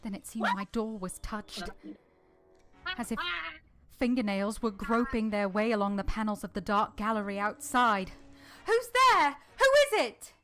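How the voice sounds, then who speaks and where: A woman playing a quiet, plain-spoken young governess in a vintage-style radio drama, earnest and measured, both in dialogue and first-person narration. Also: mid-range voice, expressive, background noise, close to an everyday voice. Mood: alarmed, urgent.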